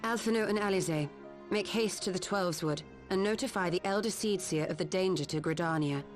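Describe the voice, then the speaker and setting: Actress as low-voiced, commanding sorceress. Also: female voice, fairly quick, faint music behind, lightly acted. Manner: formal, authoritative. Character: composed, commanding, learned woman mage, decisive. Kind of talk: video game cutscene acting.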